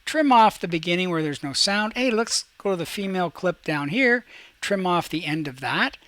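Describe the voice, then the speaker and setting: Male instructor, fairly high voice, very dry recording. Casual step-by-step software walkthrough for students. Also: animated, normal speed, no echo. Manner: talks through each step while demonstrating, checks in with "okay". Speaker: teacher.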